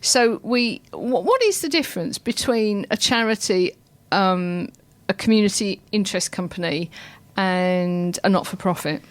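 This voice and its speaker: A woman's voice: medium pitch, lively intonation, measured pace, few pauses, no echo. A woman hosting a radio show in a studio, friendly and joking, often restarting her sentences. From England. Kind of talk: casual radio interview hosting.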